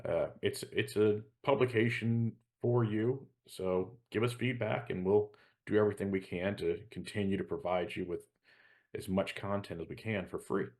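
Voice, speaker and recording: A man's voice: lowish voice, steady tone, moderate pace, darkish tone. A middle-aged man co-hosting a show, explaining things in an earnest, conversational way. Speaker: show co-host.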